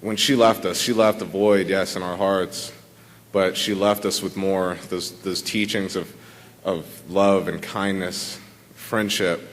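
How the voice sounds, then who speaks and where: A man giving an informal tribute at a memorial service, halting and repeating words as he speaks. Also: sits low, fairly even intonation. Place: large room full of people at a memorial service. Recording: reverberant hall.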